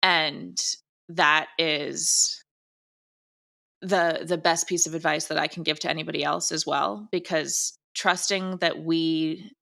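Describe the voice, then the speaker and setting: A woman's voice: low voice, fairly even intonation, slow pace, creaky, pushed and bright. A woman chatting informally in an interview as a creator, speaking in an emphatic, heartfelt way. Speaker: audio drama creator and director being interviewed. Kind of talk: casual interview chat.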